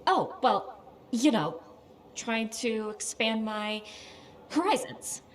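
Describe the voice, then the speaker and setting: A woman's voice: a little high, expressive, takes it slowly. A woman playing an earnest, socially awkward soldier in a video game, chatty in manner. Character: awkward, earnest female soldier who loves plants. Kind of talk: video game dialogue acting.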